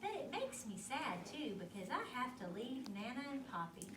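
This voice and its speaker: A woman reading a picture book aloud to children, expressive and teacherly, pausing to put questions to her young listeners. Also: high-pitched. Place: echoey indoor room at a school literacy event. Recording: roomy, distant mic with clear background noise.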